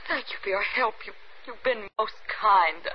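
An actress in 1950s radio suspense style, playing a cold, calculating wife who murders her husband, composed and deliberate. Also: medium pitch, close to an everyday voice. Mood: meek, polite. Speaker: actress narrating in first person. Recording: muffled vintage radio recording with music.

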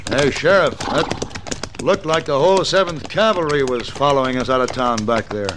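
A man's voice: mid-range voice, normal speed, close to an everyday voice. A man acting as a composed gunman in an old radio western. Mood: dry, matter-of-fact. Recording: noisy old radio recording.

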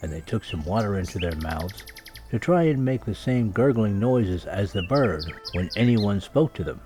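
A man's voice: on the low side, expressive, normal speed, warm tone. A man narrating a scripted children's story as a storyteller. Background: music and birdsong sound effects.

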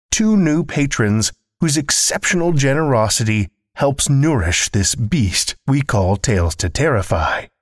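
A man's voice: medium pitch, no room sound, studio quality. A man hosting a horror fiction podcast, reading a scripted introduction with theatrical, macabre showmanship.

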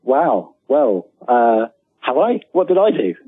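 A British man in his forties talks casually as an interview guest, with a husky edge to his voice. He rambles a little, and the call sounds narrow and phone-like, very dry. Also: mid-range voice, sing-song, slow, no echo. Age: 40s. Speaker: guest author. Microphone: remote call.

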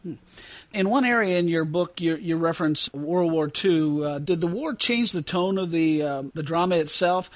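A man's voice: fairly high pitch, normal speed. A man hosting a casual interview, phrasing his remarks and questions in a tentative, hesitant way. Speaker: podcast host, interviewer.

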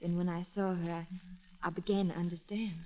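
A woman's voice: fairly low pitch, little pitch movement, brisk, mellow, natural voice. An actress playing a forgiving, wistful young woman in a 1940s radio drama. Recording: muffled old radio broadcast.

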